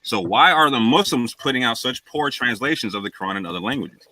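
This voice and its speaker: Guy talking fast and sharp, pushy, dry close sound. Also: medium pitch, lively intonation, rough recording. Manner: forceful, repeats himself to hold the floor. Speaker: debater on a live stream. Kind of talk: heated debate.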